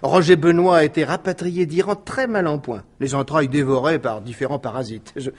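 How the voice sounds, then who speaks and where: A man's voice: fairly high pitch, a little fast, close to an everyday voice. An actor playing an eager police deputy in a French radio crime drama, savouring his findings with hesitant, slightly stammered build-ups.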